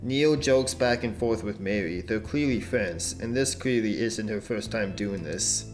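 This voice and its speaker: A man's voice: fairly low pitch, a little slow. A young man narrating a dating-sim playthrough with friends, reading the game text aloud and laughing often. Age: young adult. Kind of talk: casual let's-play narration. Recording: light background music.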